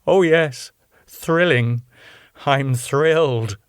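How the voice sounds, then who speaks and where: A man's voice: medium pitch, very slow, smooth, dry recording, clean studio sound, voice pushed up. A man voicing a sarcastic, pompous middle-aged club owner. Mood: strained.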